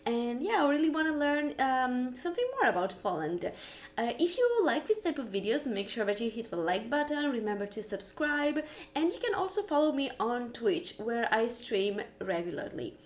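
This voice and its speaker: A woman's voice: fairly high pitch, very animated, moderate pace. A young woman from Ukraine, raised in Italy, chatting casually and curiously as she reacts to a video. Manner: chatty, curious, laughs easily. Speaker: reaction streamer.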